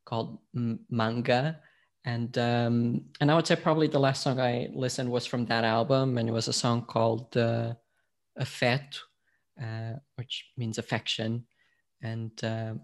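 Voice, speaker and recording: Hesitant podcast host from Portugal. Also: male voice, mid-range voice, flat delivery, a little slow. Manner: hesitant, many fillers and restarts, rambling. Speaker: podcast host, now interviewee. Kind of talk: casual podcast conversation.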